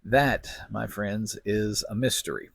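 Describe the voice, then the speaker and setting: A man hosting a podcast, delivering semi-scripted commentary in a folksy, wry manner with deliberate phrasing. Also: on the low side, animated, creaky, very noisy. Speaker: podcast host.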